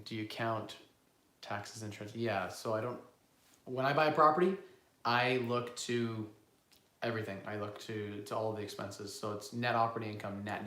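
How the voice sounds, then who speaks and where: A man with a cold, hoarse, hosting a casual live-stream Q&A on investing and rambling as he answers viewers. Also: lowish voice, a bit bright, roomy sound. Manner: rambling, self-correcting, repeats words. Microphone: phone built-in mic, no lapel.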